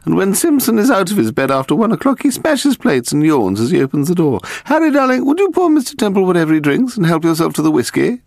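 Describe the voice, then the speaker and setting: A man's voice: sits high, very animated, fast talker, creaky, hardly any pauses, a little mellow, no echo, studio quality, pitched above the performer's own voice, exaggerated voice. A man voicing a haughty, amused older aristocratic lady in an audiobook, with a theatrical, condescending manner. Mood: grand, gracious.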